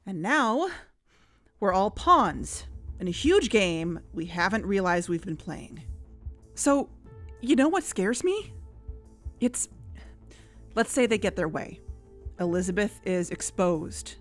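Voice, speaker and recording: A woman in scripted audio drama dialogue, earnest and worried, sometimes rushing her words. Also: mid-range voice, big pitch swings. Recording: music bed underneath.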